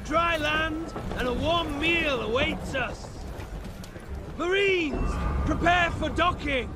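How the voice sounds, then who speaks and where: An urgent Roman fleet officer. He bellows commands in a very high, stretched shout, nearly buried under loud game music. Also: takes it slowly. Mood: commanding. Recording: loud music and sea battle noise.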